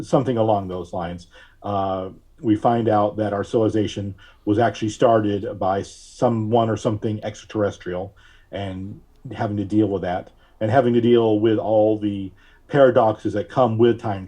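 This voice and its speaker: Mellow, halting man in casual interview. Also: on the low side, slightly rough. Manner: halting, thinking aloud. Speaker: podcast guest; audio drama writer.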